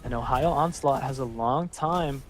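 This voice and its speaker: A man casting a live online match, calling the action and filling pauses with rambling, self-deprecating asides. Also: mid-range voice, slight background noise. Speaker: esports caster. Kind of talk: live game commentary.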